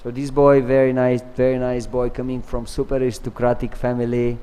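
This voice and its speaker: A man from Bulgaria, giving an earnest devotional scripture class and telling stories with pauses and restarts. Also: medium pitch, monotone, dark tone, clean tone. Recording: long reverb of a large hall.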